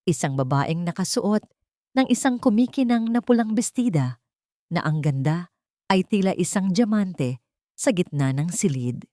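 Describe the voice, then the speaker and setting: A woman with a fairly low voice narrates a scripted romantic drama. Her delivery is measured and solemn, and the sound is dry, with very little room in it. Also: animated, warm tone, no room sound. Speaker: story narrator.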